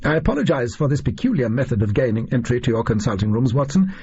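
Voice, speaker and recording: A man voicing a conceited, theatrical Victorian detective in an audiobook, with smug, formal, deliberate diction. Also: mid-range voice, lively intonation, a little mellow, husky, dull audio. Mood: apologetic, urgent.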